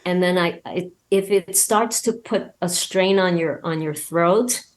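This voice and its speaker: A woman's voice: on the low side, measured pace. A woman speaking as an interview guest, explaining things casually with hesitant phrasing. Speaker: guest, voice actor and scriptwriter.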